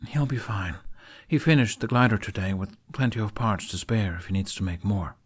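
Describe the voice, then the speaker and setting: A man's voice: on the low side, normal speed, slightly rough. A man voicing a regretful, protective young male bard in an audiobook. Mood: wistful.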